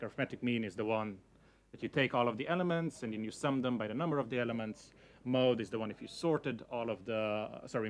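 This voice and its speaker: A young man whose first language is Slovenian talks to a room of listeners at an easy, conversational pace. He circles around his points and leans on filler words. His voice sits at a middle pitch for a man. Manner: hedging, many fillers like "you know, like". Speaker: speaker giving a talk. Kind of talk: casual conference talk.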